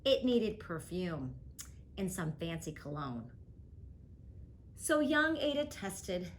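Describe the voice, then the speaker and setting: A woman reading a rhyming picture book aloud as a guest reader, with a rhythmic, expressive delivery. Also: on the low side, slow, a little hiss or hum.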